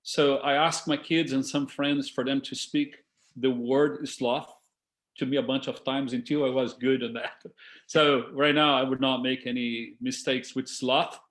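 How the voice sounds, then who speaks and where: A man from Brazil whose first language is Portuguese, speaking English with a Brazilian Portuguese accent, giving a lively, engaging talk to a group on a video call. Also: mid-range voice, measured pace. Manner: lively and engaging, addresses listeners as folks. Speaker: guest speaker.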